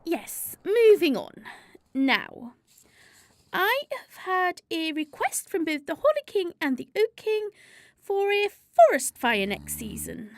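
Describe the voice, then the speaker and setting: Woman playing prim, bossy, high-voiced Spring spirit. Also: very animated, slow, dry recording, studio quality, full character voice. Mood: brisk. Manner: formal, pompous, officious. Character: Spring, prim bossy female season chairing a meeting. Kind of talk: comedic radio drama acting.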